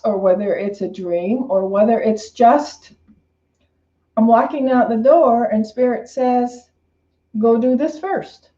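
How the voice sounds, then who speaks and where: Lady minister doing an off the cuff spiritual talk, mellow reflective voice, kinda echoey and muffled. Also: on the high side. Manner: reflective, conversational, rephrasing with repeated phrases. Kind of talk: informal spiritual sermon, unscripted. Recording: roomy, slightly dull lo-fi sound.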